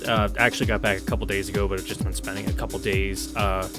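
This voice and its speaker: A man's voice: fairly low pitch, level tone, fast talker, loud music behind the voice. A young adult man giving casual, sardonic commentary and reading aloud as he plays a visual novel. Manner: sardonic, sweary, offhand. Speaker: game streamer reading through a visual novel.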